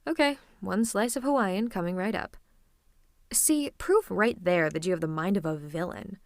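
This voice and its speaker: A woman's voice: medium pitch, normal speed, no echo, lightly acted. Teasing supervillain woman acting, close dry sound. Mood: playful, casual. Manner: affectionate, teasing, theatrically menacing. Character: playful, teasing female supervillain fond of her hero. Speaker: voice actor. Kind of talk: ASMR roleplay acting, one-sided conversation.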